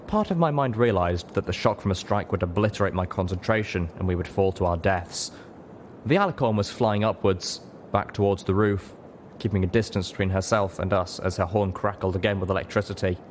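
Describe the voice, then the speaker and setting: A man's voice: deep voice, slight background noise. A man reading an audiobook, giving scripted fiction a dramatic narration. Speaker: audiobook reader.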